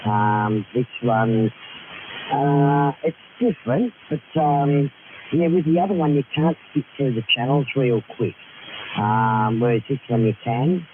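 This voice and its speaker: Australian man, mid pitch, casual radio chat, narrow tinny transmission, very heavy hiss. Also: measured pace. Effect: two-way radio transmission. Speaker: amateur radio operator. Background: loud radio hiss.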